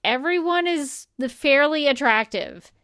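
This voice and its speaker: A woman's voice: sits high, animated, a little slow, a bit bright, dry recording, studio quality. A woman co-hosting a podcast in a casual, chatty and emphatic way, often acting out imagined remarks. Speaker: podcast co-host. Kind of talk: casual chat.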